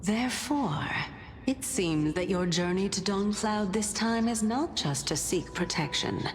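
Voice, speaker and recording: Leisurely, teasing goddess, long reverb. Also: female voice, mid-range voice, animated, unhurried, a little hiss or hum. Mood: amused musing. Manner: playful, theatrical, leisurely, musing. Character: teasing, curious female deity of reason living in a man's mind. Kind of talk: video game voice acting. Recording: long reverb, music underneath.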